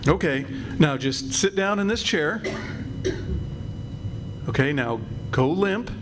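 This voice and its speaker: A man's voice: on the high side, animated, slow. A man playing a breezy, self-assured friend and amateur hypnotist in an old-time radio comedy. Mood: breezy, confident. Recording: noisy live stage recording.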